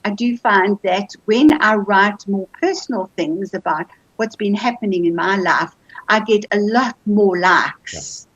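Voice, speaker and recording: A woman's voice: medium pitch, a little slow, a bit bright, slightly rough. A woman hosting an online writers' seminar, interviewing conversationally with hesitant phrasing and repeated words. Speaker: host of a writers' group seminar. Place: online video call. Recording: dull, lo-fi video-call audio.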